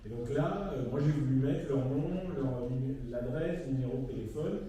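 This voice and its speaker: Halting man in a very echoey hall. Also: medium pitch, mellow. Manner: halting, colloquial. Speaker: guest speaker, magazine founder. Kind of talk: informal spoken conference talk. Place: indoor conference room on a campus. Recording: echoey hall, distant mic, faint noise.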